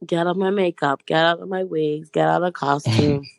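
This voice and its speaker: Slightly hoarse, gravelly young woman. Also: low-pitched, dry recording. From Bermuda. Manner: relaxed, chatty, pauses with "um" and "like". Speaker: guest, stage actress. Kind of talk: casual interview chat.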